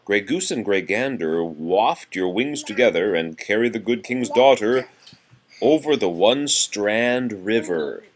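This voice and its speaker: Very deep-voiced man reading nursery rhymes. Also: lively intonation, a little slow, a little hiss or hum. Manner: sing-song rhyme reading with informal explanations. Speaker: parent reading to a child. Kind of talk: read-aloud of nursery rhymes with casual chat to a child.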